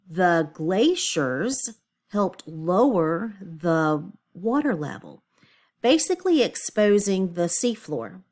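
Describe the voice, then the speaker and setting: A woman teacher reading a lesson aloud to children, stopping to explain words simply in an instructional way. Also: mid-range voice. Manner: didactic, checks understanding, explains words simply.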